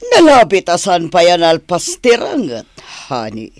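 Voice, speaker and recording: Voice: mid-range voice, wildly swooping pitch, measured pace, no room sound. An actor with an Ilocano accent playing a villain boss's fretful, clinging sweetheart in a radio drama. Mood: anxious.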